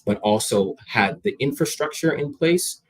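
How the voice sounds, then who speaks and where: A man's voice: medium pitch, expressive, a little slow, rough recording. A young man of Jamaican heritage, a guest in a casual interview, talking energetically and conversationally, often repeating his words as he starts a sentence.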